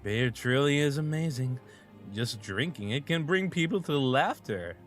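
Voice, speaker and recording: A man playing a gentle, wistful storyteller in a comic dub, speaking in a tender, lyrical way. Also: medium pitch, expressive, a little slow, faint music behind, natural voice. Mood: fond, wistful. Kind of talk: scripted comic dub acting.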